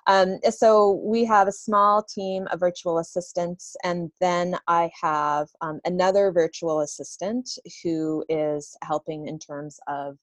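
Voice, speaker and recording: Woman, low-pitched, interview guest, business owner, conversational, informal, thinking aloud, dry sound. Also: fairly even intonation, measured pace, few pauses, no echo.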